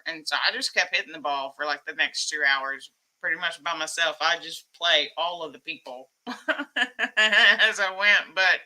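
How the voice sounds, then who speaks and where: A woman hosting a casual live stream, a little hoarse from losing her voice, rambling and laughing often as she greets her chat. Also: fairly low pitch, low-quality recording. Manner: excitable, reading chat names aloud.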